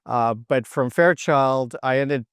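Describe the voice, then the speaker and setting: A middle-aged man from Canada giving thoughtful, halting answers as a guest in an interview. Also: medium pitch, clear, resonant tone, no room sound, studio quality. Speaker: podcast guest, technology executive.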